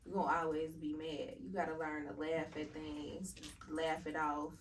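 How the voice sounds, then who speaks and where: A relaxed woman in her thirties chatting candidly as a podcast guest. Her voice sits a little low and comes from slightly off the mic, with a faint hum of room noise behind her. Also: moderate pace, recorded from a distance. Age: 30s. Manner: laid-back, laughs things off, candid. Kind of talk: casual interview chat.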